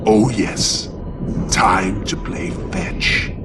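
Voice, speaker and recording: A man's voice: fairly low pitch, fairly even intonation, distant mic, music in the background. A man playing a bitter, vengeful half-angel, half-demon outcast in a theatrical, sardonic video game cutscene monologue. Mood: mocking, menacing. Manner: theatrical, brooding, deliberate, sardonic.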